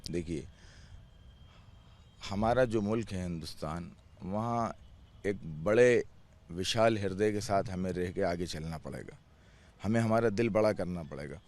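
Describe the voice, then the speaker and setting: A man's voice: sits low, unhurried, pauses often, darkish tone, dry recording. A man chairing a clerics' board answers interview questions earnestly, restarting words and repeating phrases.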